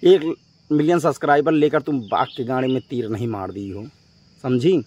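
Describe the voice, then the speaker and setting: A man's voice: mid-range voice, normal speed, darkish tone, husky. A man speaking as a vlogger in a confrontational rant to his viewers, scolding and emphatic, often repeating himself. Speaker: YouTube vlogger addressing viewers.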